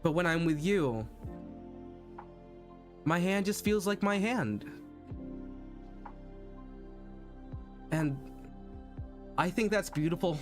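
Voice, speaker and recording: A man voicing a lovestruck undead patchwork monster in an earnest, halting, pleading theatrical monologue. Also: sits high. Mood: adoring. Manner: halting, earnest dramatic monologue with repeated phrases.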